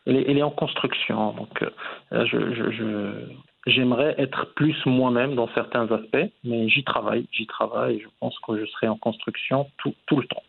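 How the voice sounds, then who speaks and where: A man in his forties giving measured, reflective answers as the guest in an interview. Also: medium pitch, fairly even intonation, gravelly, slightly rough, phone-quality sound. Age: 40s.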